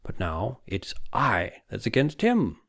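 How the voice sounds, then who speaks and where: A man's voice: on the low side, huge pitch swings, takes it slowly, creaky, a bit bright, exaggerated voice. A man voicing a vehement, scheming older male psychiatrist in an audiobook, emphatic and condescending. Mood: excited, gloating. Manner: emphatic, exclamatory, argumentative. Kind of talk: audiobook character voice.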